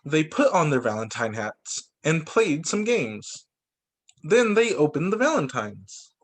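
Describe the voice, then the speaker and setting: Lively male teacher reading aloud. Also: a little high, a little slow. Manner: animated, stops to explain to kids. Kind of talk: reading picture books aloud to children. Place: home over a video call. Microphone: laptop mic on video call.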